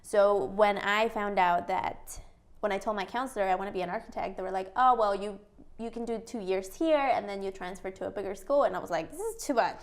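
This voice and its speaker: A woman from Mexico is a guest in a casual interview, with a mid-range voice. She tells her story with animated energy and laughs often. She acts out what other people said to her. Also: medium pitch, a little fast. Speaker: guest, entrepreneur.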